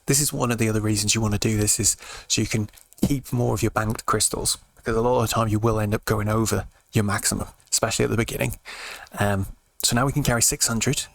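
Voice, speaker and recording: A British man giving laid-back, explanatory let's play commentary in an informal way, his voice slightly croaky. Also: on the low side, fairly bright tone. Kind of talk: casual game commentary.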